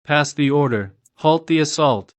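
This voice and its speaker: A resolute general, acted by a man in a motion-comic drama, with a slightly high voice and very dry sound. He speaks in measured, commanding phrases, principled and quietly defiant. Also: dry recording, natural voice. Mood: firm command.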